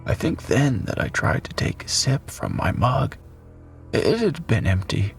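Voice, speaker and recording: Guy doing a dying bomb survivor, raspy n halting, gravelly, slow, music under it. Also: mid-range voice, very animated. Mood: shocked, dazed. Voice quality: raspy, coughing. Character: dying male nuclear blast survivor recording last words. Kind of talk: dramatic audio story monologue.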